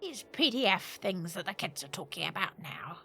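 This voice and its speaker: Bright-voiced woman livestreaming a game. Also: lowish voice, big pitch swings, normal speed, vocal fry, soft background music. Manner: jokey, reactive asides. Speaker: livestreamer playing a horror adventure game. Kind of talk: casual live gaming commentary.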